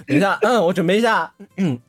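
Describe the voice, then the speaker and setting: A woman's voice: medium pitch, fast talker, soft background music. A woman voice actor chatting casually as a guest, bashful, giggly and hesitant. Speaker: voice actor guest on a cast livestream. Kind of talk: casual unscripted chat.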